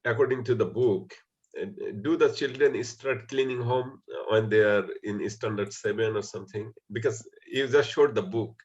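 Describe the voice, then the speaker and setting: A man's voice: mid-range voice, moderate pace, a little mellow. Polite man on a dull video call. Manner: polite, repeats words as he forms questions. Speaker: moderator. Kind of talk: informal online discussion. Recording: dull lo-fi online call audio.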